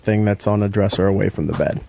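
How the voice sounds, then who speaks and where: A man working on a radio show, chatting on air in a halting, defensive way. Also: fairly low pitch, steady tone, creaky, warm tone, a little hiss or hum. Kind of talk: casual on-air chat.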